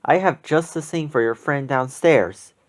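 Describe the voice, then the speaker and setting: Gracious, gentle storybook party hostess, as a reader voices her. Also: medium pitch, unhurried, close to an everyday voice. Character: kindly woman hosting a costume party, gracious and welcoming.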